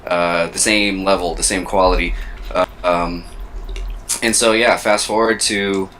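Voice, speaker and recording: A man in his thirties with a very low voice and a flat, level delivery talks things through slowly, stopping to hunt for the right words. His tone still has a bright, forward edge. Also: slight background noise. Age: 30s. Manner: reflective, searching for words. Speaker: co-host. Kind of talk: casual chat.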